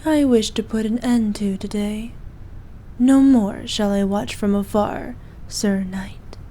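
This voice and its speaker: Lady playing evil queen for asmr, regal and possessive but doting, soft mellow whispery voice, bit of low rumble behind. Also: lightly acted. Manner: regal, slow, archaic formal speech. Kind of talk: intimate ASMR roleplay acting.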